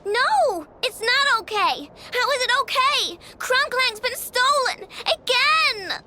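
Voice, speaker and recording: A small, stubborn girl inventor in a video game. Her childlike voice is very high and tearful, swinging widely in pitch, with faint noise behind it. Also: animated, slow pace, bright, forceful tone, heavily acted. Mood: tearful outrage.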